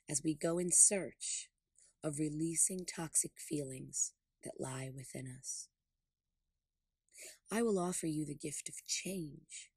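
A woman with a low, breathy voice leads a guided meditation. She speaks in short, deliberate, soothing phrases. The sound is close and dry, with no room around her. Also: a little mellow, no echo. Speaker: podcast host and meditation guide.

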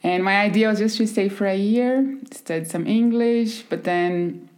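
A woman in her thirties with a Brazilian Portuguese accent, a podcast guest telling her life story in a relaxed way, laughing softly often. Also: mid-range voice, normal speed. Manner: warm storytelling with frequent soft laughs. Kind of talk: casual conversation.

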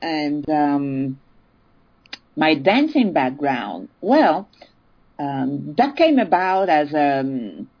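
A woman's voice: low-pitched, very animated, extremely slow, lo-fi sound. A woman from Italy, chatting as an interview guest and laughing often. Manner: chatty, laughs often, many "you know"s. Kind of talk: casual interview.

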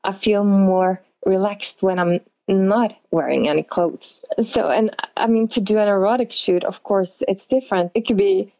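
A woman's voice: mid-range voice, normal speed, few pauses, warm tone, no room sound, dull audio. A woman with a Norwegian accent giving reflective answers as an interview guest, hesitant and searching for words. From Norway.

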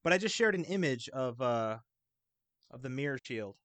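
A man's voice: mid-range voice, expressive, normal speed, a bit bright, no echo. A man chatting as a podcast guest, explaining things in a loose, hesitant way that often trails off. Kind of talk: casual podcast chat.

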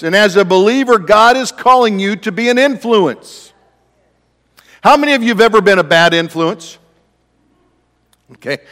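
A man preaching a sermon, conversational and self-deprecating, with a naturally loud voice. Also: sits high, in a large hall. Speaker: pastor.

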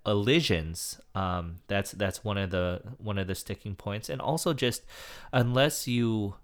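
A composer man explains his craft in an interview, thinking aloud with frequent fillers. His voice sits somewhat low, and his delivery is measured and considered. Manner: thoughtful, hedging with fillers. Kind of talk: reflective interview talk.

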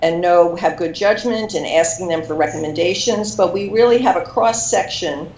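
A woman with a low voice speaks in a measured, slightly halting way as an education program manager. She sounds as though she is in an echoey room on a dull, muffled line. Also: a little mellow. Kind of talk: interview answers.

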